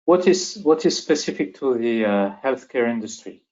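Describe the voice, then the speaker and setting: A man with a French accent presenting a business webinar as moderator, speaking haltingly with repeated words, on dull webinar audio. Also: mid-range voice, animated, a little mellow. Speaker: webinar moderator and company executive.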